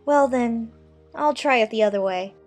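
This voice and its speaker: A woman acts out a curious, well-mannered young girl from a children's storybook. Her voice sits high and she muses expressively to herself. Faint music plays behind her. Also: moderate pace, a little mellow, lightly acted. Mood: musing, puzzled. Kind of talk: dramatized children's audiobook acting.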